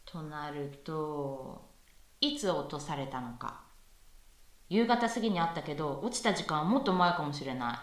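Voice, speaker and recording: A woman's voice: deep voice, expressive, normal speed. A woman playing a playful, pushy young female university student in a conversational radio drama. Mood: playful, insistent. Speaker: voice actress in amateur radio drama. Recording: echoey room, far from mic.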